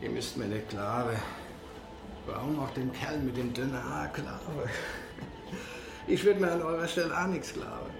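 A man's voice: medium pitch, moderate pace, vocal fry, pauses often, natural voice. A man acting a world-weary, cryptic stranger in a radio drama, talking in a conversational, self-deprecating way. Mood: cryptic, insistent. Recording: echoey room, music behind.

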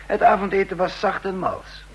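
A shrewd, dry spy chief. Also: male voice, sits high, moderate pace, fairly bright tone, husky, poor audio. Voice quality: dry, self-assured, ironic. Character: shrewd male counterintelligence chief, cool. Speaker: narrator and lead character. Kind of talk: vintage radio drama acting.

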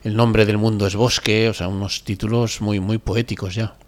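Male co-host, lowish voice, informal and appreciative, corrects himself as he goes. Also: flat delivery, normal speed. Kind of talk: casual literary chat.

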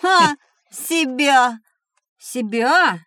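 A woman's voice: sits high, animated, extremely slow, sharp tone, no room sound, studio quality, exaggerated voice. A woman playing a curious, cheeky young boy with an eager, childlike manner in a children's audio drama.

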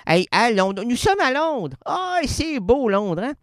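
A man's voice: very high voice, very animated, slow, no echo, clean studio sound. A man with a Québécois French accent doing a rambling, playful comic radio monologue. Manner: playful, rambling, swings between loud and quiet.

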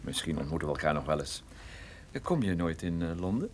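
A man acting as a wry, flirtatious private detective in a radio drama, conversational with small hesitant pauses. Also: very deep voice, animated, a little mellow, slight background noise. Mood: quiet, flirtatious.

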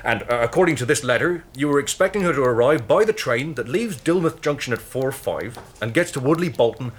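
Husky police investigator. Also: male voice, mid-range voice, lively intonation, a little fast. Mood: methodical. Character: methodical, official police investigator. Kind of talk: British radio drama acting.

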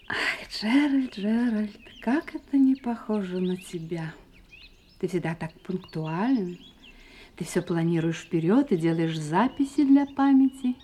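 An actress playing an affectionate, anxious young wife in an audio play, with expressive theatrical delivery. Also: female voice, a little high, slight background noise. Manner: expressive theatrical delivery, swinging from playful teasing to uneasy hushed asides. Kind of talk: Russian audio play acting.

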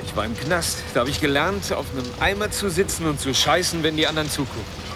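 An actor playing a restless, sardonic ex-prisoner in a naturalistic radio drama, with a dry, blunt manner. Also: male voice, fairly high pitch, quick pace, music in the background, close to an everyday voice. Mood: defiant, sardonic. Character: restless, sardonic East German ex-prisoner and dreamer.

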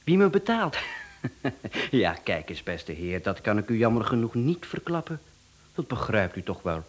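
A man's voice: fairly low pitch, very animated, moderate pace. A man with a Flemish accent playing a smug, chatty professional hitman in a radio drama, speaking with mocking politeness and self-assurance. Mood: mocking, self-assured.

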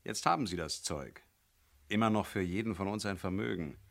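A scornful criminal in a 1970s radio crime drama. His voice is deep. He bargains with contempt and tries to persuade. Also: moderate pace, creaky, fairly bright tone.

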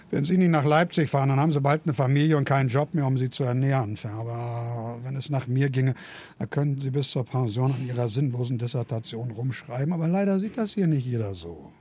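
A man's voice: mid-range voice, a little fast, dark tone, lightly acted. An actor playing a pompous, mocking older male professor in a German radio play, imperious, theatrical and sardonic in manner.

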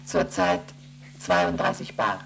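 A deep, gravelly ship computer. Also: male voice, slow pace, soft background music, heavily acted. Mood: flat reporting. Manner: flat, mechanical, reports numbers and rules. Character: emotionless onboard computer, male, reciting readings. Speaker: ship's computer. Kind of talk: radio play acting.

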